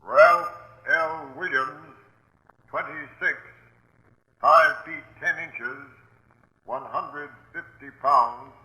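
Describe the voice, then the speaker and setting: A man reading a 1940s radio bulletin deliberately, item by item, in clipped scripted phrases. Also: fairly high pitch, fairly even intonation, bright, forceful tone. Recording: roomy, echoing 1940s radio recording.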